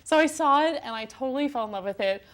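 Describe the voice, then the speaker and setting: A young woman co-hosts a casual film chat. Her voice sits a little high, and she talks in an expressive, gushing style. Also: quick pace, clean tone. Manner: gushing, expressive, quotes herself. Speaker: co-host of a film and TV talk show.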